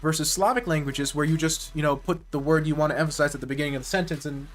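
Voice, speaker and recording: Man, live-stream chat, rambling, self-correcting, nerdy, slightly high voice, faint music underneath. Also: fairly even intonation, fast. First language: English.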